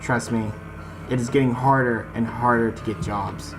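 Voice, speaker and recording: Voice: medium pitch, fairly even intonation, moderate pace, low-quality recording. A gaming host reading a visual novel aloud in a let's-play, stumbling over some words and talking straight to viewers. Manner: reads text aloud, stumbles on words, addresses viewers directly. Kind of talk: let's-play narration with casual asides. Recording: music behind the voice. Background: visual novel game music.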